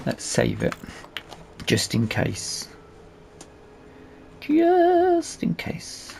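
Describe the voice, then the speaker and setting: A man's voice: extremely high pitch, wildly swooping pitch, extremely slow, creaky, a little mellow, a little hiss or hum. A British man giving casual, unscripted let's play commentary on a video game, thinking aloud as he plays. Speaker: gaming video host. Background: farming game vehicle and ambience sounds.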